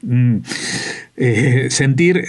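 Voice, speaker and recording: A man's voice: mid-range voice, very slow. Argentine-accented man hosting a radio show. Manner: conversational, with some hesitation. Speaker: radio host. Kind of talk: radio interview.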